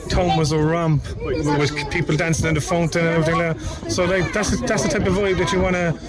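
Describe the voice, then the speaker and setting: A man's voice: on the high side, steady tone, a little fast, over a noisy crowd. A man, chatting casually and reminiscing on a radio show. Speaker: guest on radio. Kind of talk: casual chat.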